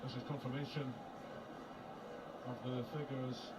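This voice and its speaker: A man doing live play-by-play football commentary on a TV broadcast, calling the play in a measured way. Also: medium pitch, little pitch movement. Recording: TV match broadcast with loud background noise.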